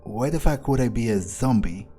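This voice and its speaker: A man's voice: medium pitch, expressive, normal speed, mellow, faint music behind. A man thinking aloud in character while playing a video game, musing and casually profane. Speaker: let's-play gamer speaking in character.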